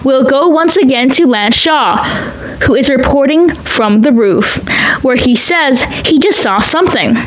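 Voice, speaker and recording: A woman's voice: high voice, animated, a little slow. A woman playing a composed but shocked radio news anchor covering breaking news in an audio drama. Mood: grave. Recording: noisy, muffled radio broadcast sound.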